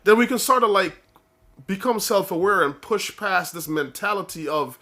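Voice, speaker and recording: A man's voice: high-pitched, lively intonation, moderate pace, sharp tone. A man from Guyana, raised in Saint Lucia, co-hosting a casual podcast, explaining his views earnestly with many restarts and "okay?" checks. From born in Guyana, raised in Saint Lucia. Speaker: podcast co-host.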